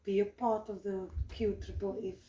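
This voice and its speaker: A woman addresses a small community gathering, pausing often on fillers. She is heard in a very reverberant room, well away from the microphone. Steady background noise sits under her voice. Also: medium pitch, highs cut off. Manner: hesitant, many fillers. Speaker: citizen journalist. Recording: echoey room, distant mic, background noise.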